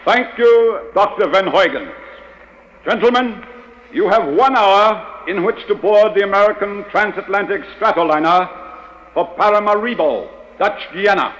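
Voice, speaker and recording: A man playing a formal, commanding spymaster who gives orders in measured phrases, in a 1940s radio drama. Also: sits high, a bit bright, poor audio. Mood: grave, formal. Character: formal, authoritative male chief of a secret agency.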